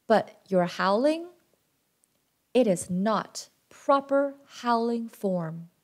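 Woman as a measured, gently instructive father wolf. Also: fairly high pitch, lively intonation. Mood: gently correcting.

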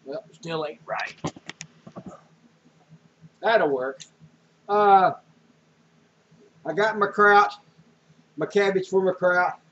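A man's voice: high voice, extremely slow, pauses often, bright, forceful tone, slight background noise. An older man, rambling folksy about groceries and canning in a casual home vlog, with many fillers. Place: small home room indoors. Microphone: webcam or handheld camera. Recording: dull lo-fi home camera audio.

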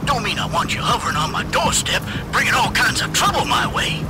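High, swooping space pirate. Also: male voice, very animated, a little fast, over loud music, exaggerated voice. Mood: gruff, suspicious. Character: gruff middle-aged male blue alien space pirate, mocking. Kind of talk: animated game character acting.

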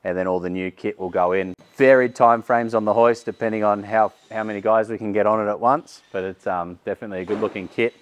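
A man's voice: lowish voice, fairly even intonation, fast, few pauses. A man, giving a casual walkaround tour of a vehicle workshop with frequent fillers. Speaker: workshop host. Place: large vehicle workshop indoors.

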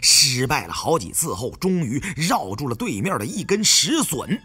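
A man's voice: mid-range voice, big pitch swings, normal speed, bright, forceful tone, husky. A man reading a novel aloud as a dramatised audiobook narrator. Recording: music behind the reading.

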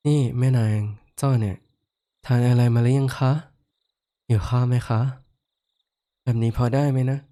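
A man voicing a kindly horned giant lord who speaks softly, comforts the listener, and teases playfully in a roleplay audio drama. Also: mid-range voice, steady tone, moderate pace, long pauses, clear, resonant tone, lightly acted. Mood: teasing. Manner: soft-spoken, comforting, playfully teasing. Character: kindly male giant lord with horns, gentle and teasing.

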